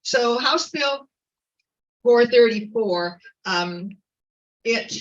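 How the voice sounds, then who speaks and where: A woman giving an earnest, informal activist talk at a community meeting, halting with fillers and restarts. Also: on the high side, lively intonation, recorded from a distance, dull audio. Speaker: activist speaker.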